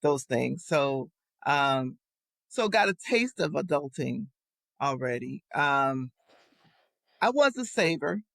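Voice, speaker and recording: A woman's voice: low voice, big pitch swings, slow pace, creaky, pauses often, fairly bright tone, dry recording. A woman in her fifties, a podcast guest, telling reflective and amused stories in casual conversation.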